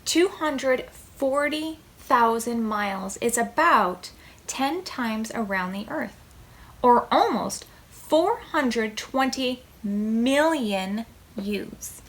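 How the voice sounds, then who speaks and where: A woman hosting a preschool storytime, reading a picture book aloud with deliberate, expressive phrasing for small children. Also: fairly high pitch.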